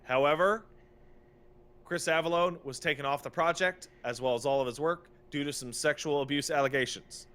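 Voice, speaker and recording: A man's voice: a little high, normal speed, fairly bright tone, smooth. A man co-hosting a podcast in a casual, opinionated rant, exasperated and emphatic, repeating phrases for effect and swearing freely. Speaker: podcast co-host.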